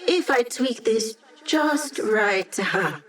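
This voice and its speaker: A woman's voice: on the high side, expressive, drawn-out words, a bit bright, faint music behind, exaggerated voice. An actress voicing a cocky, playful young female hacker who guides a squad in a video game, with a teasing, breezy manner. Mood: wry, upbeat. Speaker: hacker and mission support. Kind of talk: video game character voice lines.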